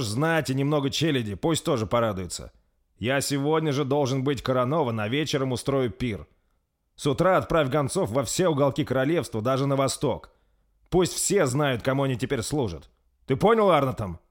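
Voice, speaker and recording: A man's voice: medium pitch, animated, measured pace, voice pushed up. A man voicing an arrogant, hot-tempered young prince who barks out orders in a storybook reading. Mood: commanding. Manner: imperious, shouting orders.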